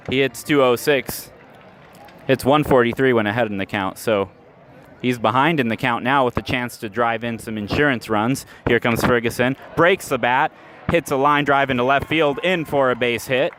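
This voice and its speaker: Man calling baseball play-by-play. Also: mid-range voice. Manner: measured, rises sharply on big plays. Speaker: play-by-play announcer. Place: ballpark, outdoors.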